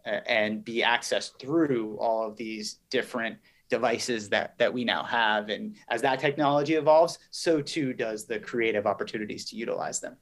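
A man's voice: fairly low pitch, normal speed. A man speaking as an interview guest, explaining things articulately in the business language of a company executive.

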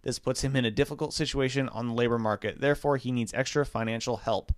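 A man talks through a strange news story on a casual podcast, pausing often with fillers. His voice is smooth and clear. The sound is dry and close, with no room around it. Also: medium pitch, no room sound. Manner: relaxed, hesitant with many fillers. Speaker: podcast co-host reading news stories. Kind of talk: casual chat.

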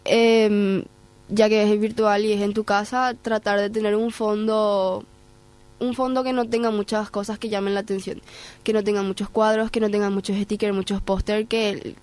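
A woman from Paraguay speaks with her local Spanish accent. Her pitch sits in the middle range, and she offers advice conversationally, as a guest in an interview. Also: flat delivery, moderate pace, darkish tone, clear, resonant tone. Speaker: guest, programme coordinator.

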